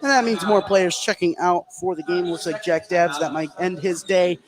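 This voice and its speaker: An American man doing live play-by-play basketball commentary for radio, excitable, narrating the action in short running phrases. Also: high voice, moderate pace, a crowd in the background. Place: indoor gym at a basketball game. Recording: noisy live sports broadcast.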